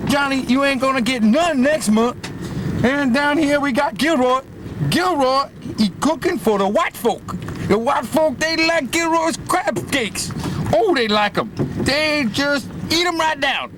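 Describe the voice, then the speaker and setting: A man's voice: extremely high pitch, a little slow. A man voicing a folksy old fisherman who rambles and chuckles while showing off his crew, recorded aboard a boat. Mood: jokey. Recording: outdoor boat noise behind.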